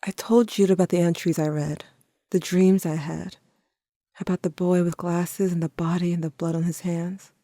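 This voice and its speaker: A woman playing a brooding, guilt-haunted young narrator in an audio drama, speaking in a measured, confessional way. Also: on the low side, flat delivery, dark tone, no echo, studio quality, lightly acted. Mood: uneasy, reflective.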